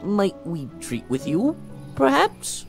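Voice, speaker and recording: A woman's voice: medium pitch, huge pitch swings, takes it slowly, creaky, full character voice. A woman voicing a small, eager male lizard envoy in a visual novel, with formal, flourishing, courtly speech. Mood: courtly, careful. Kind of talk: visual novel character acting. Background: music.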